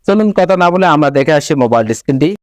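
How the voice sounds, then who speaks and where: A man's voice: a little high, expressive, very fast, no echo. A man speaking as a channel host, addressing viewers in a scripted video introduction, recorded as a close voice-over.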